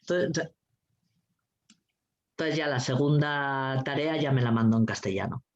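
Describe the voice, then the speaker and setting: Mujer sarcástica y directa, grabación apagada. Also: female voice, very deep voice, moderate pace. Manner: blunt, sarcastic, swears freely, laughs often. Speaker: guest speaker, teacher trainer. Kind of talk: lively anecdotal talk. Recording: dull lo-fi recording.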